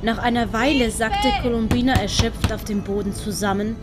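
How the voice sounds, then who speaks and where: Female storyteller, Swiss accent, fairly high voice, scripted narration, music clearly behind. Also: steady tone, normal speed. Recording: background music.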